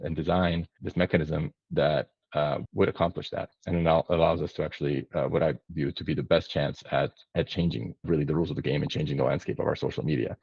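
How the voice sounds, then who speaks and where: Man, 30s, from Bosnia: rock-bottom gravelly voice, fast earnest explainer, dull webcam audio. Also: extremely low pitch, no room sound. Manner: earnest, explanatory, many self-restarts. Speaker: startup founder, podcast guest. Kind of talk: long-form interview answer. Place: indoor room, home office. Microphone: video call, webcam framing. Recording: dull lo-fi video call audio.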